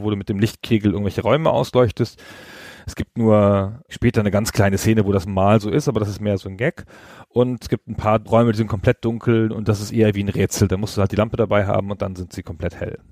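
A man's voice: lowish voice, fairly even intonation, fast, darkish tone, no echo, studio quality. A man hosting a podcast, explaining video games in a casual, colloquial, conversational way. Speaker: podcast host. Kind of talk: casual video game discussion.

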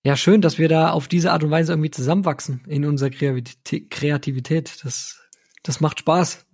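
A man's voice: fairly high pitch, fairly even intonation, brisk, a little mellow, husky. Casual male podcast co-host. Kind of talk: casual podcast chat, reading out own lyrics.

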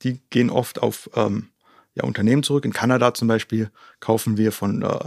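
A man's voice: on the low side, fairly even intonation, a little fast, gravelly, a little mellow, no room sound, studio quality. A man from Germany explaining things in detail as an interview guest, often checking in with a short tag question. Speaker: conservation organisation co-founder, interview guest.

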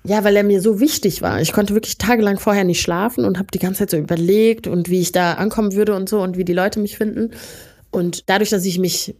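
A woman from Rwanda, co-hosting a relaxed bedtime podcast chat and telling stories from her youth. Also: medium pitch, brisk. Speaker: podcast co-host.

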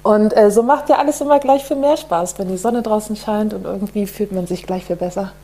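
A woman's voice: medium pitch, brisk, warm tone, a little hiss or hum. A woman speaking as an interview guest, cheerful with short answers. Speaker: guest, sports general manager.

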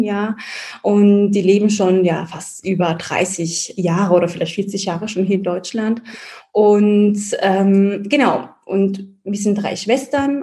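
A woman from the Palatinate, a guest in an interview, talking about her work and family with many pauses and fillers. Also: medium pitch, dark tone. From Germany, Palatinate. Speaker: guest, baby-feeding advisor. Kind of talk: casual interview chat.

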